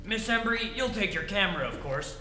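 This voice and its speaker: An actor playing a pompous, scheming magazine publisher in a stage re-enactment of an old radio comedy, declaiming grandly. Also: male voice, high-pitched, expressive, brisk, sharp tone, full character voice. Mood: grandiose. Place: theatre stage. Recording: echoey hall, faint noise.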